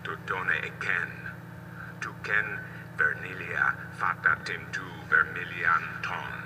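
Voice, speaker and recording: An actor playing a mysterious priest who talks in an unknown tongue, often in a low whisper. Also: male voice, steady tone, takes it slowly, distant mic. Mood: cryptic. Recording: game audio from off-mic, noisy.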